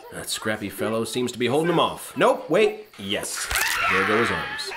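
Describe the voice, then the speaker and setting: An actor playing a brash, self-satisfied starship captain in a comedic science-fiction radio play, glib and pompous. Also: male voice, fairly low pitch, wildly swooping pitch, normal speed, noisy background. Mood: flippant.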